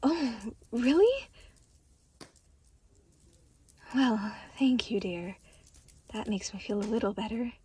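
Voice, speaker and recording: A gentle deity of limbo, played by a woman in an audio roleplay, with a breathy, husky voice. She is soft-spoken and a little awkward, choosing words with polite hesitation as she looks after the listener in a caring, motherly way. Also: a little high, expressive, measured pace, full character voice. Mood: touched, bashful.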